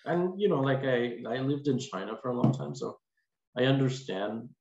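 A man in his forties speaking casually and haltingly as an interview guest over a video call. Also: mid-range voice, lively intonation, darkish tone. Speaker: podcast guest, lawyer. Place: small indoor room, video call. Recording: echoey, muffled video call with noise.

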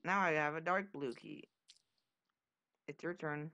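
A man's voice: a little high, unhurried, sharp tone, dry recording. Youthful male gamer, dull dry mic. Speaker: gaming video host and player. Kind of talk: casual gaming chat.

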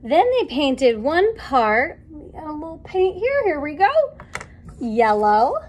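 A woman's voice: high voice, very animated, slow, a little hiss or hum. A woman in her thirties reading a picture book aloud to young children in a sing-song, encouraging, question-asking way, recorded in a small room. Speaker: children's story time reader. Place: small indoor classroom or playroom.

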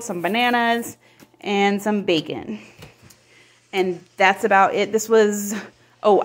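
A woman talks casually into a phone camera at home. Her voice sits at a middle pitch. She is relaxed and friendly and fills her pauses with plenty of ums. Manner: relaxed, friendly, lots of "um" fillers. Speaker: vlogger showing a grocery haul. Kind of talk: casual chatty narration. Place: home kitchen table indoors. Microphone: phone camera pointed at table.

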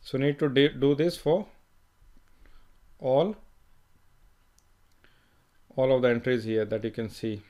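Male instructor, plain step-by-step tutorial delivery, mid-pitched, self-correcting. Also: slow, long pauses, highs cut off. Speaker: software trainer. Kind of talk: screen-recorded software tutorial.

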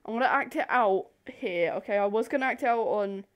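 A young woman telling a story casually to camera in an animated, rambling, giggly way, easily sidetracked. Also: mid-range voice, fairly quick. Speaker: video host. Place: bedroom.

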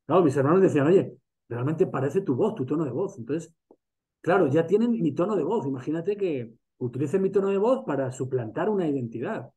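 A man with a Spanish accent from Spain chats over a video call. His voice sits a little high, and he keeps restarting and filling pauses as he thinks aloud. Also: lively intonation, warm tone. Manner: talkative, many restarts and fillers. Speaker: masterclass host. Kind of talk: casual video call discussion.

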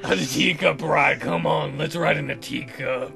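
A man's voice: a little high, a little slow, vocal fry. A man reading visual novel lines aloud as a let's player, dropping joking, teasing asides. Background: game music.

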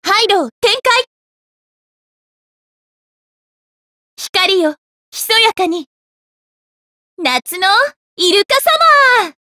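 Very high, sweet anime holy maiden. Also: female voice, expressive, slow, pushed and bright, no room sound, heavily acted. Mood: devout, resolute. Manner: polite, sweet, earnest. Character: cheerful, devout young holy maiden in summer mode. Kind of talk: anime mobile game character lines. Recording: studio voice over game music. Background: game music.

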